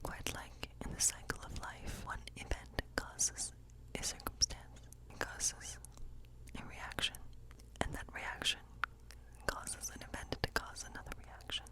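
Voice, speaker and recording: A woman performing an ASMR role-play as a friendly woodland witch, reading tarot in a breathy, unhurried whisper with a musing, reassuring manner. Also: poor audio.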